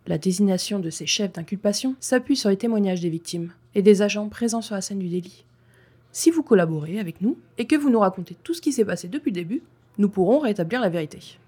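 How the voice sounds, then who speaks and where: A woman playing a stern, composed police inspector in an audio drama, speaking formally and methodically with a slightly husky voice. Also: mid-range voice, lively intonation, dark tone. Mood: calm, persuasive. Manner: formal, measured, procedural. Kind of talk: scripted audio drama dialogue.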